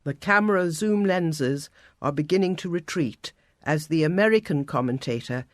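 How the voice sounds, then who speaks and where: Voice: medium pitch, lively intonation, normal speed, no echo. Dry, close-miked story narrator.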